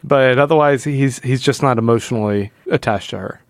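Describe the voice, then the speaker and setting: A man with a smooth, clear voice hosts a casual discussion show. He sits at a middle pitch and is recorded close and dry. He breaks things down conversationally, slipping into quoted lines as he retells them. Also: fairly quick, dry recording. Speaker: podcast host. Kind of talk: casual comic book analysis chat.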